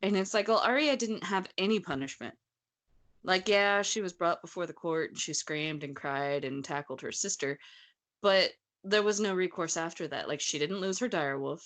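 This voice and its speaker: A woman's voice: fairly low pitch, normal speed, fairly bright tone. A woman talking through a book in a conversational, opinionated way. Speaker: podcast host. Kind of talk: casual book discussion.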